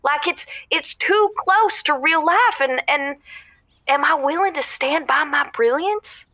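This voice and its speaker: Middle-aged housewife, high bright voice, rambling, earnest, giddy, audio drama call-in. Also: female voice, a little slow, dry recording. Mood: excited, proud. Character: chatty middle-aged Southern housewife caller, proud and giddy.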